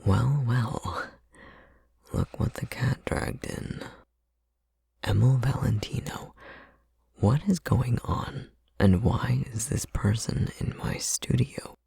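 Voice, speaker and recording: A man's voice: mid-range voice, slow, gravelly, warm tone, husky, dry recording, heavily acted. A man playing a weary, sardonic tattoo studio boss with an Icelandic accent in an ASMR roleplay. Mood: cold, sardonic.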